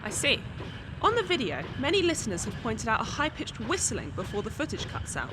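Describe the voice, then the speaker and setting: A woman's voice: a little high, wildly swooping pitch, brisk, noisy background. A young woman playing an earnest field reporter, asking formal questions in a scripted news drama. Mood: inquiring. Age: young adult. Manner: inquiring, formal.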